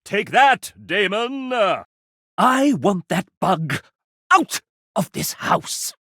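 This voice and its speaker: A man's voice: high voice, sing-song, bright, forceful tone, dry recording, clean studio sound, full character voice. An actor playing a theatrical, fussy and sardonic man, a video game show host with an arch, dramatic manner. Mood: fussy, determined. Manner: arch, dramatic, drawn-out phrasing with big swoops.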